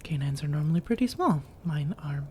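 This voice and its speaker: Woman doing a flirty soothing vampire lady for asmr, soft n mellow, drifts into whispers, bit of noise behind her. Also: sits low, lively intonation, fairly quick. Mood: teasing, secretive. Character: seductive, teasing, reassuring female vampire roommate. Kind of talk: soft-spoken ASMR roleplay.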